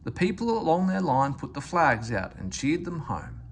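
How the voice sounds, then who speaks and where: A man's voice: fairly high pitch, big pitch swings, moderate pace. A man narrating a children's story aloud as a read-aloud reader, with faint noise in the recording.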